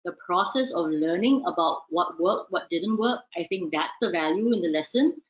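A woman with a fairly low voice speaks thoughtfully as a guest on a video call, laughing softly between phrases. Her audio is dull and lo-fi. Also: animated, normal speed. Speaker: café owner guest.

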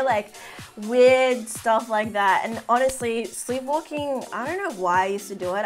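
A woman's voice: mid-range voice, a bit bright. A young woman in her twenties chatting casually to camera in a bedroom, bubbly and full of fillers. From half Filipino. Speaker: beauty vlogger. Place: indoor bedroom with fairy lights.

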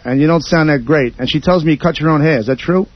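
A man's voice: on the high side, fast talker, dull audio. A man arguing as a radio guest in a blunt, streetwise, combative way. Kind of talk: heated unscripted argument.

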